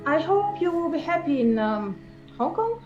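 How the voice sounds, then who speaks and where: A woman playing a wistful, awkward girlfriend in an improvised comedy breakup scene, speaking haltingly with stammers. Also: high-pitched, sing-song, a little mellow. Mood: sarcastic. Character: wistful, awkward pregnant girlfriend being left, passively sarcastic. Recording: dull lo-fi sound, music behind.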